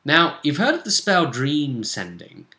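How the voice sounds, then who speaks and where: Male game master, bright forceful voice, halting conversational storytelling, recorded in an echoey room. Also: fairly high pitch, very animated. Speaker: game master recapping a campaign session. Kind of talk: casual tabletop game storytelling.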